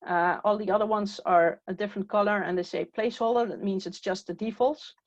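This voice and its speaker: Woman with Dutch roots teaching software. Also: mid-range voice, steady tone, a little fast, no echo. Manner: step-by-step, with frequent "uh" fillers. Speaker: software trainer. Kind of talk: screen-share tutorial walkthrough. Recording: dull, dry, lo-fi recording.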